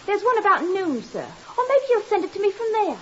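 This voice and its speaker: A fretful landlady, very high and animated in voice. She chatters in a flustered, deferential way, as in a 1950s radio play. The recording is dull. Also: fairly quick, a little hiss or hum, exaggerated voice. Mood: defensive. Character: fretful, chatty, deferential landlady lending money to her lodger. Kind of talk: 1950s radio drama acting.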